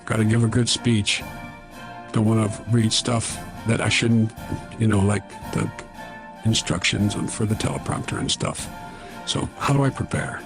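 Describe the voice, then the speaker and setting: Man playing a muttering, low-voiced doddering old president. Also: moderate pace, creaky, over music. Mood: fretful, muttering. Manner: muttering to himself. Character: doddering old president fretting over his farewell speech. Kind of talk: political sketch comedy.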